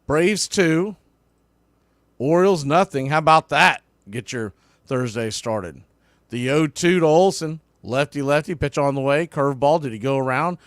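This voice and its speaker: A man's voice: a little high, unhurried, dry recording, studio quality. A man doing live baseball play-by-play in clipped phrases, with a folksy, partisan enthusiasm for the home team. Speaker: baseball play-by-play announcer for a fan stream. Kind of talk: live sports play-by-play commentary.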